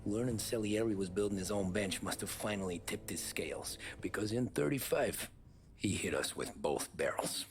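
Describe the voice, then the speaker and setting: A man's voice: fairly low pitch, lively intonation, moderate pace. An actor playing a weary 1930s gangster who recounts his criminal past in plain, slangy, matter-of-fact words for a video game. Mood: reflective, measured. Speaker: narrating lead character. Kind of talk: dramatic video game acting. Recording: music behind the voice.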